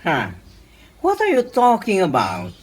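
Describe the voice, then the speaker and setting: A woman's voice: mid-range voice, huge pitch swings, unhurried, a little mellow. A woman with an Ilocano accent playing a blunt, teasing, camp village friend in a comedy radio drama, sassy and reproving. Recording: noisy home-made radio drama recording.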